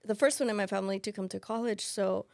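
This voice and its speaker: A woman in her thirties whose first language is Spanish speaks in a gravelly, creaky voice. She talks thoughtfully in a casual interview, with many fillers and restarts, recorded dry and close. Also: mid-range voice, fairly even intonation, fast talker, darkish tone, no echo. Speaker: financial aid specialist.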